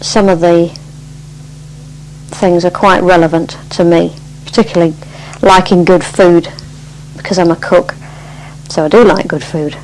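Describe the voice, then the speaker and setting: A woman around her sixties with an English accent, introducing herself in a plain, measured manner. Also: on the low side, fairly even intonation, darkish tone, rough recording. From Cambridge, England. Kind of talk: self-introduction. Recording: faint background noise and hum.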